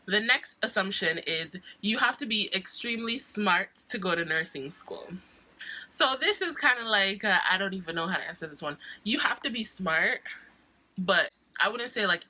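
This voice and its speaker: A woman's voice: lowish voice, pushed and bright, poor audio. A young woman with a cold, sounding stuffy, chatting casually to camera as a nursing student vlogger, with frequent laughs and restarts. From Jamaica.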